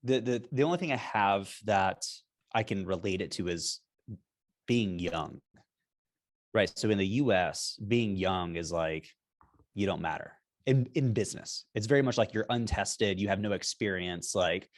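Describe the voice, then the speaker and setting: A low-voiced man talks as a guest founder in an energetic, emphatic way. He keeps tagging "right?" onto his points as he explains over a video call. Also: normal speed. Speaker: software company founder, guest. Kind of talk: casual business interview.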